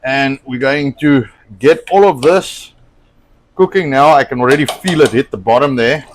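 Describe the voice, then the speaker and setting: A man's voice: medium pitch, normal speed, a little hiss or hum, poor audio. Afrikaans-speaking man hosting a cooking stream. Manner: rambling, hesitant, lots of fillers. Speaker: cooking show host. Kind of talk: casual cooking livestream chat. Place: home kitchen.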